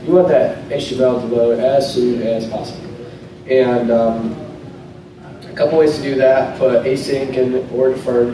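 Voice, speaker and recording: A man in his thirties giving a casual technical talk with a live demo in a hotel conference room, loose and conversational, often restarting his sentences. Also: medium pitch. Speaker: conference speaker. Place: hotel conference room, in front of a projector screen. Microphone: lectern mic, recorded from a camera at the back of the room. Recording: very echoey room, voice from far off, clear noise.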